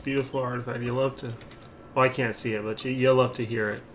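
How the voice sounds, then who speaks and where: A man's voice: medium pitch, little pitch movement, fast. A man doing casual gameplay commentary as a streamer, rambling and thinking aloud. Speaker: game streamer. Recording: clear background noise.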